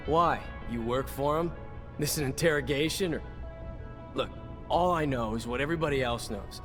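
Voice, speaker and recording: A man's voice: mid-range voice, normal speed, a bit bright, natural voice. Bitter, sardonic soldier played by a man, music behind. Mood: guarded, curt. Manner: gruff, sardonic, blunt, swearing. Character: bitter, cynical male veteran soldier. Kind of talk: video game dialogue acting.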